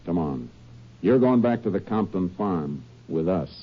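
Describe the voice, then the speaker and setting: An actor playing a calm, firm male Texas Ranger who also narrates, in a 1950s radio drama. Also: sits low, measured pace. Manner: steady, clipped commands. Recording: dull old radio recording.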